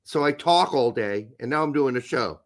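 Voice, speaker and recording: A man co-hosting a casual podcast chat, hoarse with his voice going, blunt and opinionated with some swearing. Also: medium pitch, lively intonation, normal speed. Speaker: co-host.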